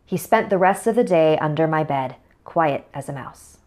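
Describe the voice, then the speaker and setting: A woman's voice: sits low, expressive, normal speed, darkish tone. A woman narrator reading a scripted horror story in the first person.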